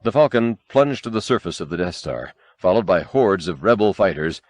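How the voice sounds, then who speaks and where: A man's voice: sits low, very animated, moderate pace, darkish tone. A man narrating an audiobook in a dramatic, scripted storytelling style.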